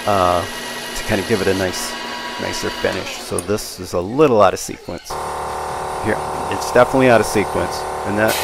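A man in his sixties casually narrating hands-on shop work in a folksy, plainspoken way. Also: on the low side. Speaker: machinist and channel host. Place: machine shop, indoors. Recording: clear machine and tool noise. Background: machinery and power tools.

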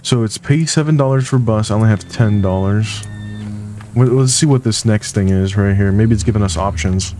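A man's voice: fairly low pitch, moderate pace, mellow, soft background music. A man commenting casually on a game as he plays, joking and thinking aloud. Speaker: gaming youtuber. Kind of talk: casual let's-play commentary.

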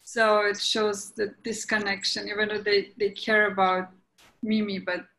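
A woman's voice: mid-range voice, flat delivery, unhurried, pushed and bright, rough recording. A woman answering questions as a playwright in a casual video call discussion. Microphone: video call microphone.

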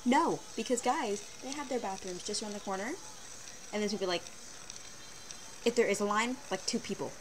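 A teenage girl rants to her webcam in a casual, animated way, her voice sitting a little low for a girl. She talks at a medium pitch with sarcastic asides. The audio is a hissy built-in webcam recording from a small bedroom. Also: brisk, pauses often. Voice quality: fairly low voice for a woman. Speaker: vlogger. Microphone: built-in webcam mic.

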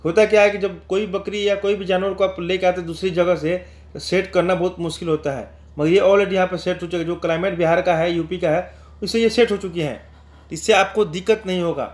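A man's voice: high voice, fairly even intonation, a little fast. A man giving an informal promotional voice-over as a channel host, explaining things repetitively and addressing viewers directly.

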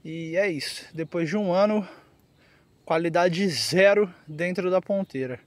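A man with a Brazilian accent and a high-pitched voice speaks in a casual, slangy way. He sounds like a vlogger talking into a handheld phone outdoors, addressing his viewers informally. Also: unhurried, dry recording. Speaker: motorcycle vlogger. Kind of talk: casual vlog talk to camera, slangy. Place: outdoors on a quiet roadside by trees.

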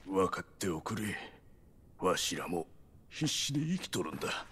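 Casting: elderly villager for a game, low-pitched, weary, pleading, rustic delivery. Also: male voice, very animated, moderate pace, a bit bright, a little hiss or hum, full character voice. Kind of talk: Japanese video game cutscene acting.

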